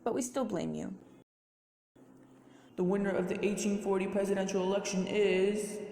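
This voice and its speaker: A woman's voice: mid-range voice, level tone, normal speed, dark tone, close to an everyday voice. A woman playing accusing, demanding citizens and an election announcer in an amateur history puppet show. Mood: declaring, blaming.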